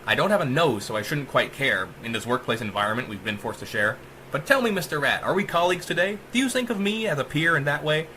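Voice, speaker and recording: A man's voice: a little high, expressive, fast talker, pushed and bright, a little hiss or hum. A man voicing a cruel, manic female household AI in a horror audiobook, mocking and theatrical in rhyme. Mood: sardonic taunting. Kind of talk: dramatic audiobook reading.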